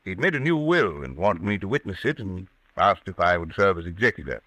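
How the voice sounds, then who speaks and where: A man acting an assured, formal doctor in an old-time radio drama, speaking briskly. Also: low voice, sing-song, a bit bright, no room sound, exaggerated voice. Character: assured doctor, loyal friend of a troubled patient. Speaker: radio actor.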